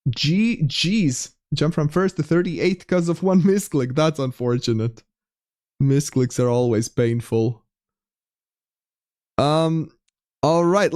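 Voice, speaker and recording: A man's voice: a little high, a little mellow, no room sound, clean studio sound. Chatty Latvian-accented man streaming. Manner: chatty, reads chat aloud, frequent restarts. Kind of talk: casual live-stream chat with viewers.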